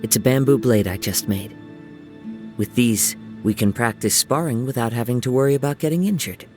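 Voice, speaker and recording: A man voicing a gentle young wandering samurai in a video game, soft-spoken and reflective, with lyrical, poetic phrasing. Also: mid-range voice, natural voice. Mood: gentle, earnest. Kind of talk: video game character voice lines. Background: music.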